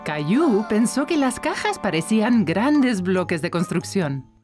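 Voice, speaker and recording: A woman's voice: medium pitch, big pitch swings, a little slow, darkish tone, soft background music. A woman narrating a scripted children's cartoon story for young listeners.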